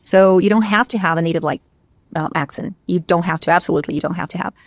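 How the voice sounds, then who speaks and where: A woman's voice: fairly low pitch, fast, dry recording. A woman from Brazil, a native Portuguese speaker with a slight Brazilian accent, talking casually and emphatically as an English teacher in a discussion.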